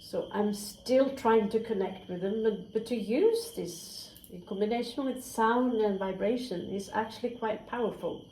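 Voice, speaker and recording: A woman's voice: medium pitch, moderate pace, hardly any pauses, dark tone, a little hiss or hum. Chatty woman in an echoey room. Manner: candid and chatty, swears freely, drops into whispered asides. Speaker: tarot reader. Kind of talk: casual spoken tarot reading. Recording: roomy echo, faint crickets.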